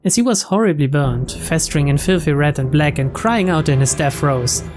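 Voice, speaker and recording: A man's voice: a little high, animated, moderate pace, a little mellow, faint music behind. A man reading a visual novel's story aloud as a dramatic narrator. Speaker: video game let's play narrator.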